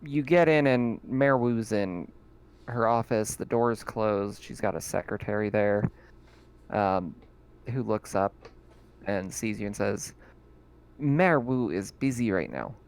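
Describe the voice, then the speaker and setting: A man acting as game master, narrating in a relaxed, chatty way and often laughing. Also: on the low side, measured pace, clean tone. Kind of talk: casual tabletop game narration.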